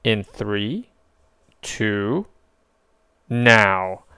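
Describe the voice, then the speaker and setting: A man voicing a calm, stately thunder-god hero with a formal, unhurried manner in a storybook. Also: lowish voice, clear, resonant tone, no room sound, heavily acted.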